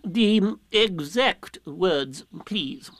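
A man's voice: high voice, expressive, fairly bright tone, dry recording, pitched above the performer's own voice, full character voice. A man voicing a ponderous old owl in a children's story, hesitant and drawing out words to sound wise. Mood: grave, insistent. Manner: hesitant, drawn-out, falsely wise, breathy chuckles and hums.

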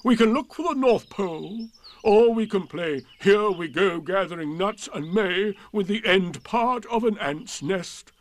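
A man voicing a gloomy, put-upon old donkey who grumbles slowly and morosely in a children's storybook reading. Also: sits high, expressive, pitched above the performer's own voice. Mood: gloomy, aggrieved. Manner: slow, morose, complaining.

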